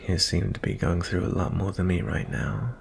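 A man playing a gentle, weary young prince in a fantasy ASMR roleplay, speaking softly and intimately in a breathy, husky voice with frequent pauses. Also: low voice, animated, slight background noise. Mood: gentle concern. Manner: slow, hushed, pausing often.

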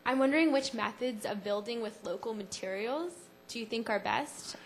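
A woman's voice: a little high, normal speed. A woman in a lecture audience asking a short, tentative question.

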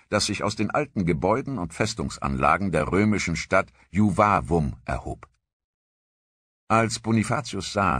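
A man narrating a history documentary from a script in a measured, grave storytelling manner. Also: low voice, fairly bright tone, breathy edge, no echo.

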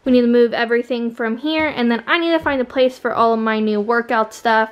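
A woman's voice: a little high, fairly even intonation, a little fast, lo-fi sound. A woman in her twenties casually chatting as she films her house, rambling and talking to viewers. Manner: chatty, rambling, filming handheld while walking through the house. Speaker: vlogger. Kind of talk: casual home vlog. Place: home rooms, indoors. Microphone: phone or camera held at arm's length.

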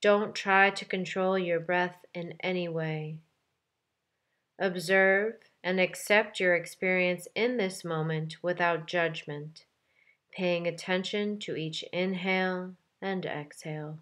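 A woman leading a guided meditation in a calm, gentle, measured way with deliberate pauses between phrases. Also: lowish voice. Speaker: meditation guide, yoga teacher. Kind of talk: guided meditation, soft instructional delivery.

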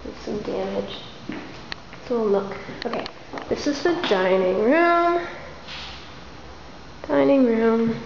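A woman casually narrating a walkthrough of an abandoned house in a soft, half-whispered way, filmed on a handheld camera. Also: fairly high pitch, slow, gravelly, long pauses. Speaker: urban explorer filming a tour. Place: empty abandoned row house, indoors. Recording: roomy, dull lo-fi camera audio with hiss.

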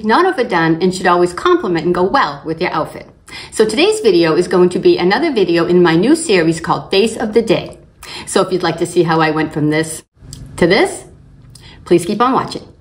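An older woman hosting a beauty channel, slightly raspy from allergies, calmly explaining each makeup step in front of a fixed camera. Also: fairly low pitch. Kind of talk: makeup tutorial narration. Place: indoors in front of a plain backdrop.

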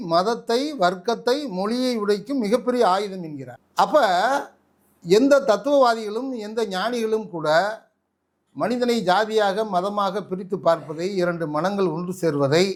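A man with a Tamil Nadu accent giving impassioned political commentary in an interview, forceful and oratorical, full of rhetorical questions. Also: high voice. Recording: echoey room, distant microphone.

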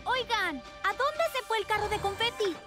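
An actor voicing a cheerful, eager young boy steam engine in a children's cartoon, earnest and excitable. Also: very high-pitched, moderate pace. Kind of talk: animated children's cartoon dubbing and songs. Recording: loud music under the voice.

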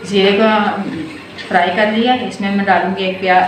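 A middle-aged woman hosting her own cooking channel, calmly talking through each step at home while she cooks. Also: medium pitch, brisk, over music. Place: home kitchen, cooking at the stove. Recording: echoey, distant, noisy home recording. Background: frying and sizzling from the pan.

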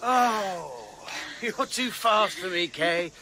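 An actor playing a charming, placating husband in a radio drama, coaxing and soothing. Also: male voice, sits high, very animated, very slow, noisy background, exaggerated voice.

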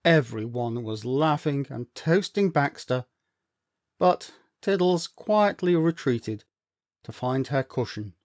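A man narrates a story for children in a close, dry recording. His delivery is unhurried and very animated, with deliberate, dramatic pauses and emphatic phrasing. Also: medium pitch, dry recording.

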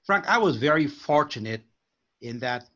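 An older man from Guyana, a life coach, speaking earnestly and in a measured way as a guest on a video call. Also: a little high, lively intonation, sharp tone. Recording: muffled video call audio.

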